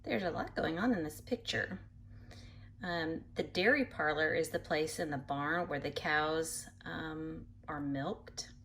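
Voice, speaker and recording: A woman reading a picture book aloud to children in an animated way, pausing to explain things patiently in simple words. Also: low-pitched, slight background noise. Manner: animated, acts out animal sounds, addresses young listeners. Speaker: read-aloud storyteller for children.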